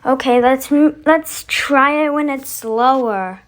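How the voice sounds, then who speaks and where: High female voice, slow pace, casual host chat, musing aloud, halting announcements. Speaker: video host. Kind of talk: casual chat to camera, demonstrating voice effects.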